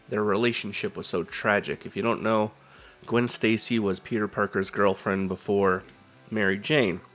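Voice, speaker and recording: A man's voice: fairly low pitch, a bit bright. A man with a cold, sounding nasal, chatting casually as the host of a drawing video and telling comic book history with plenty of fillers. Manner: rambling, easygoing fan storytelling with fillers.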